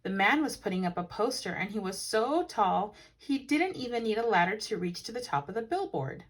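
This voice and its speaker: A woman reading a children's picture book aloud as a teacher, with animated, dramatic emphasis. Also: mid-range voice, brisk, slightly rough. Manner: animated, dramatic emphasis with hushed asides to listeners.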